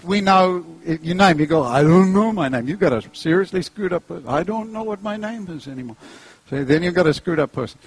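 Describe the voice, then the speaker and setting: A middle-aged New Zealand preacher speaking in a slightly high, husky voice. He jokes, acts out characters, and lets his pitch leap high for effect. The sound is dry and close. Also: no room sound. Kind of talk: animated sermon with humor.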